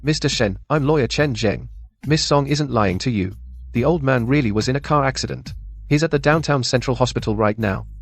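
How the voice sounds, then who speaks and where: A man's voice: medium pitch, brisk, faint music behind, close to an everyday voice. A man acting as an anxious, earnest lawyer who pleads urgently in a radio drama.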